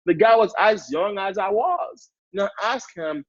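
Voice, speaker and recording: A man's voice: high voice, moderate pace, no room sound, highs cut off. Hyped young Haitian-accented man. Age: 20s. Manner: hyped up, energetic, repetitive. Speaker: guest speaker on a sales training call. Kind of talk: motivational talk.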